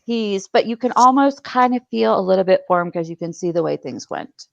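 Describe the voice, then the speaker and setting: A woman's voice: medium pitch, expressive, brisk, gravelly, no room sound. Earnest woman on dull, dry audio. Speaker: panelist. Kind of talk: casual panel discussion.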